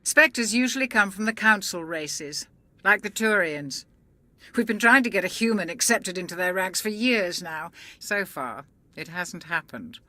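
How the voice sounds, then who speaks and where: A woman's voice: medium pitch, lively intonation, a little slow, bright, forceful tone, no room sound. An actress playing a dry, sensible female ship's doctor in a video game cutscene, speaking in a composed, matter-of-fact way.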